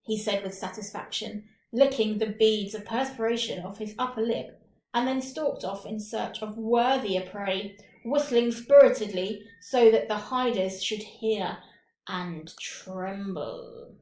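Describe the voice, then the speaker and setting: A woman reading a short story aloud with deliberate, dramatic phrasing and pauses. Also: mid-range voice, darkish tone. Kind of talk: expressive scripted story narration. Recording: roomy, echoing room.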